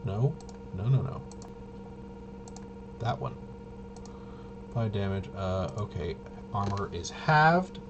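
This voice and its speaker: A man's voice: lowish voice, expressive, slow pace, long pauses, muffled recording, noisy background. A man casually walking through tabletop gameplay, thinking aloud and hesitantly muttering to himself as he works things out. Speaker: solo tabletop RPG player and presenter.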